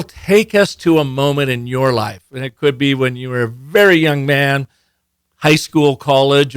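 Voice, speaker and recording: Smooth-voiced encouraging podcast host. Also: male voice, medium pitch, a bit bright, no room sound, studio quality. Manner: encouraging, coaching tone with playful baseball metaphors. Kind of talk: interview conversation.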